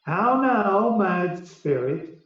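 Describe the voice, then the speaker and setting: A man playing a stately, scheming fairy king in a radio Shakespeare play, commanding and deliberate in his verse. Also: sits high, sing-song, a bit bright, full character voice. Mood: imperious. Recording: very reverberant, distant, slightly muffled.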